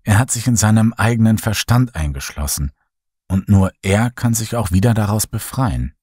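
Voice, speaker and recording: A man reading the lines of a calm sanatorium doctor in a horror audiobook, speaking in a composed, matter-of-fact way. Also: fairly low pitch, moderate pace, slightly rough, no room sound, studio quality. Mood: sober.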